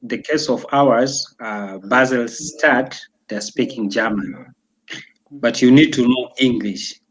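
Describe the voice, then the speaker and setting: A young man from Tanzania talking casually as an interview guest, pausing often with fillers and restarts. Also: mid-range voice, low-quality recording. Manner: hesitant, many fillers and restarts.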